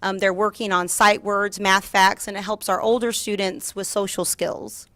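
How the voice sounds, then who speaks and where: A woman's voice: mid-range voice, level tone, normal speed, a bit bright, dry recording. A woman giving a formal presentation as a school district administrator at a meeting. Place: school board meeting room.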